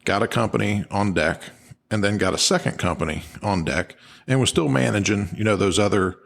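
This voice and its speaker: A man's voice: fairly low pitch, fairly quick, vocal fry, a bit bright. A man co-hosting a podcast, explaining and analyzing things in an informal, conversational way. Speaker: podcast co-host. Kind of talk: conversational analysis of firefighter radio traffic.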